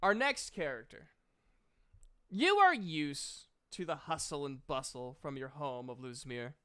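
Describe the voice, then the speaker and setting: A man works as a tabletop game master. His voice is forceful and clear, and he narrates slowly, halting now and then as he addresses players. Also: fairly high pitch, animated.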